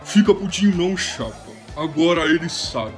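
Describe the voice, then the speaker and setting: A man playing a violent, menacing tusked thug in an audio drama, threatening people with slow mockery. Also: fairly high pitch, lively intonation, faint music behind, close to an everyday voice. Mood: mocking.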